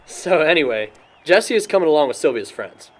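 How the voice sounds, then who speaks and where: A man's voice: on the high side, big pitch swings, fairly quick. A young man acting an easygoing friend who answers with dry, casual banter in an amateur film. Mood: casual recounting. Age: young adult.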